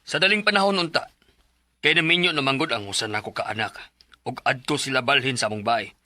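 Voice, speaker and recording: A man's voice: mid-range voice, fast, bright, forceful tone, no echo. A man acting an assertive middle-aged house buyer and landlord in a Cebuano Filipino radio drama. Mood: firm, businesslike.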